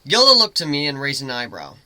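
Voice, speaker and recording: A man giving an expressive, theatrical dramatic reading of a first-person story. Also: mid-range voice, quick pace, dry recording. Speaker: amateur story reader, narrator.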